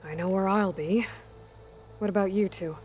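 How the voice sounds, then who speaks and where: An actress playing a brave, blunt young huntress in a video game, with a direct, brisk manner. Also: female voice, medium pitch, a little mellow, soft background music, lightly acted. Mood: determined. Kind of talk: video game dramatic acting.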